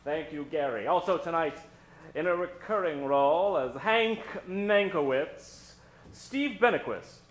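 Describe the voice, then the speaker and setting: A man hosting a live old-time radio show, introducing characters from the stage in a dramatic, drawn-out storytelling style. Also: fairly high pitch. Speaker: host and announcer. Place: stage before a live studio audience. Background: musical accompaniment.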